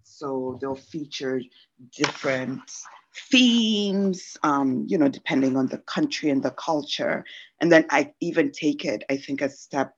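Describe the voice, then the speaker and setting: A woman's voice: low-pitched, measured pace. A woman from Congo, a fashion brand founder, answering interview questions in a chatty way with lots of fillers. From Democratic Republic of Congo. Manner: chatty, many fillers like um and you know. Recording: dull lo-fi audio.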